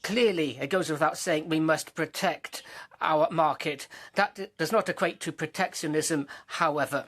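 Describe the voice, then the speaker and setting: Halting conference interpreter, middle voice. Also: medium pitch, fairly bright tone. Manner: halting, with many restarts and fillers. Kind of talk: simultaneous interpretation.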